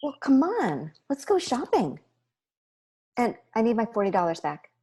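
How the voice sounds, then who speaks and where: A woman's voice: a little high, wildly swooping pitch, unhurried, warm tone, husky, full character voice. An actress playing a loving, apologetic middle-aged mother in a sitcom table read over a video call. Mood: brisk.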